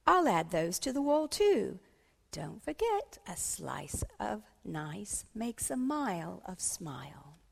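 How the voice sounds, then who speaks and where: A woman reading a children's storybook, voicing a cheerful, excitable schoolteacher who encourages in singsong rhymes. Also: medium pitch, slow pace, gravelly, breathy edge, long reverb tail, full character voice. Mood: upbeat. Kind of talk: children's storybook read-aloud.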